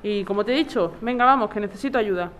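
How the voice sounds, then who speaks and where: A woman's voice: mid-range voice, fast talker, a bit bright, slight background noise, close to an everyday voice. A woman playing an anxious, nagging wife in an audio drama, insistent and exasperated with her husband. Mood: exasperated, urging. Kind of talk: scripted audio drama acting.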